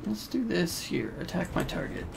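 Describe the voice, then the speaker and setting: Gravelly man giving casual game commentary. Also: high-pitched, very animated, normal speed. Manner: informal, rambling, thinking aloud. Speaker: gaming video host. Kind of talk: casual let's play commentary, explaining game interface. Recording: noisy voice-over over game ambience. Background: video game sounds and music.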